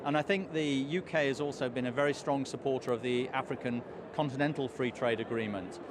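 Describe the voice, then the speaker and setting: Hoarse man in a street interview. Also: mid-range voice. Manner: measured, hedging with fillers. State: hoarse, losing his voice. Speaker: trade commissioner guest. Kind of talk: live outdoor interview answers. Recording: street noise behind.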